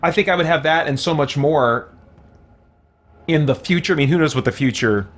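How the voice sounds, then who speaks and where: A man's voice: fairly high pitch, moderate pace. A man doing casual gaming commentary as a let's-play host, thinking aloud in a conversational way. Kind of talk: casual gaming commentary, answering viewer questions.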